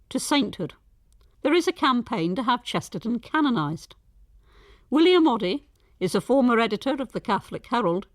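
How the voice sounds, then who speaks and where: A woman presenting a scripted documentary in a measured, articulate way. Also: a little high, no room sound, studio quality.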